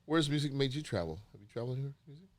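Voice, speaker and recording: A man with a very quick delivery and a middling pitch. He co-hosts in a casual, chatty interview style, tossing out short questions. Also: lively intonation, darkish tone. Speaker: podcast co-host.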